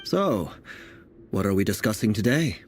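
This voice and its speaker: A man acting as a dignified court prophet from a fantasy game, low in pitch and lively in intonation. He is courteous and formal, composed even off duty. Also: moderate pace, a little hiss or hum, lightly acted. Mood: genial, composed. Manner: formal, polite. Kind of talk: video game cutscene voice acting.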